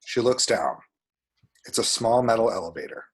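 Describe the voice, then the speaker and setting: A man's voice: lowish voice, normal speed, husky. A man reading out a screenplay's action descriptions at a table read, with vivid, dramatic storytelling. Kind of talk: scripted screenplay narration with spoken sound effects.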